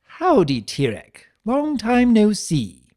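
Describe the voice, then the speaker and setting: A man voicing a mischievous chaos spirit in a fantasy story, energetic, coy and theatrical, with a teasing way of talking. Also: sits high, slow, pitched above the performer's own voice, heavily acted. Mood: cheerful, playful.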